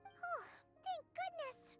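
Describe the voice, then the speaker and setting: A woman voicing a tiny, excitable, cheeky fairy companion in a video game, with a squeaky childlike voice and bubbly delivery. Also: slow pace, soft background music. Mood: excited, friendly. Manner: exclamatory, bubbly. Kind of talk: video game character acting.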